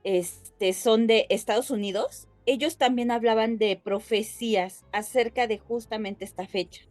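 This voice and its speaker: A woman's voice: fairly high pitch, a little slow, darkish tone, no echo, faint music behind. A woman co-hosting a podcast, explaining things casually and addressing listeners directly. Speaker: podcast co-host.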